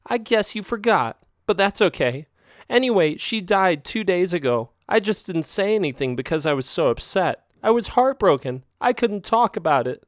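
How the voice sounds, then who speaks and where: A man's voice: high voice, big pitch swings, normal speed, no room sound, clean studio sound, pitched above the performer's own voice. A man voicing a pushy, dramatic young female alley cat who whines and turns to tears to get her way. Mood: tearful. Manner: whiny and manipulative, breaking into sobs.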